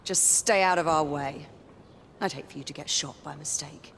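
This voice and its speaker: A woman's voice: low-pitched, normal speed, creaky, noisy background. An actress playing a tough, teasing female knight in a video game, with a poised, assertive manner. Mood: brisk. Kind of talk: video game cinematic acting.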